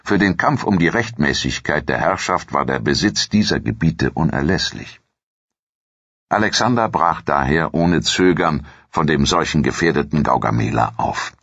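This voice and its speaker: A man reading a scripted history documentary in a measured, solemn manner. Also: rumbling low, animated, fairly bright tone, breathy edge, dry recording, highs cut off. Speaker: documentary narrator.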